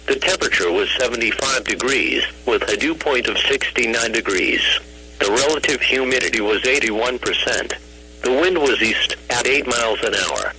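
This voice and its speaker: A man's text-to-speech voice delivers a weather bulletin as a radio announcer. The pitch is ordinary for a man and the phrasing is formal, flat and clipped. It comes through a noisy radio broadcast recording. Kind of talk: scripted weather bulletin read-out.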